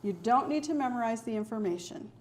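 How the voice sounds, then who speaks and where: A middle-aged woman examiner calmly explaining the stages of a spoken English test in a clear, measured way. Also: a little high. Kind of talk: scripted test instructions.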